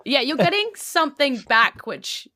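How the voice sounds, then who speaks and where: A woman's voice: high-pitched, sing-song, moderate pace, bright, forceful tone, dry recording. A woman, narrating a tabletop game as its host in an informal, conversational way.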